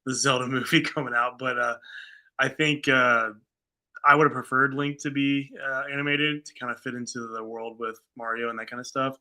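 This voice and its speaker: A man with a very bright, punchy voice at an average male pitch. He talks like a co-host on a casual fan show, informal and conversational, weighing opinions loosely as he goes. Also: steady tone, moderate pace. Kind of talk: casual conversational film discussion.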